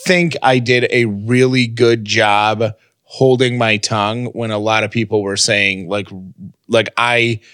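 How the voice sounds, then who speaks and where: A man's voice: fairly low pitch, flat delivery, measured pace, a bit bright, clear, resonant tone, no room sound, clean studio sound. A man co-hosting a podcast, chatting casually and personally. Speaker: podcast co-host. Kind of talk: casual banter and personal talk.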